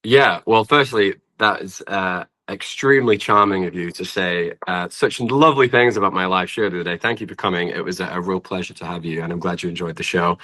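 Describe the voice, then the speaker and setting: A man's voice: sits low, brisk, hardly any pauses, sharp tone. A British man chatting as a musician guest in an interview, polite, effusive and a little hesitant. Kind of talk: casual interview chat.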